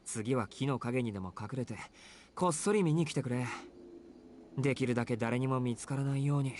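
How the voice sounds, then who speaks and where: Man acting a rapid-fire exasperated teenage boy. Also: mid-range voice, a little mellow, a little hiss or hum, natural voice. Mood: weary, serious. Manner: blunt, scolding, exasperated. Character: exasperated, sensible teenage boy, blunt but considerate. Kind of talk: Japanese visual novel voice acting.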